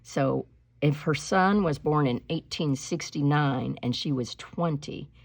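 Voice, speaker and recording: A woman reading a storybook aloud like a teacher, with deliberate, expressive phrasing and instructive delivery. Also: deep voice, creaky, dry recording. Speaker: teacher reading a picture book aloud to pupils.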